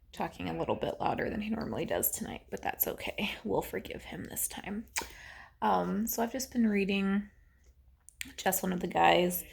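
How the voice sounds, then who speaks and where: A young woman chatting casually in a reading vlog, rambling with many fillers and sometimes dropping to a hush. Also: medium pitch, vocal fry. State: congested. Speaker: book vlogger.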